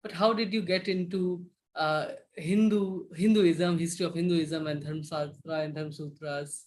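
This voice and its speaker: A man's voice: fairly high pitch, steady tone, normal speed, a little mellow, a little hiss or hum. A man hosting a live-streamed interview, speaking politely and hesitantly, often restarting his sentences. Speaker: interviewer host.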